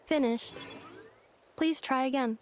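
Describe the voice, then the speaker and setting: An actress voicing a calm, analytical female android in a video game, speaking in flat, precise phrases. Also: high-pitched, slow, a little hiss or hum. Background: game music.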